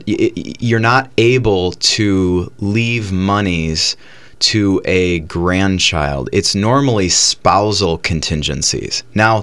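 A man's voice: fairly low pitch, a bit bright. A man hosting a radio money show, patiently explaining retirement accounts to a caller. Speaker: financial advisor radio host. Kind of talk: explaining finances on call-in radio.

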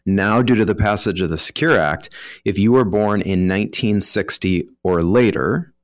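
Male financial expert, American, low register, dry studio sound, talks listeners through rules conversationally. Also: normal speed, dry recording. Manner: explanatory, informal, voices hypothetical first-person examples. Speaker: retirement planning professional, guest expert. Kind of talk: conversational explaining of financial rules on a talk show.

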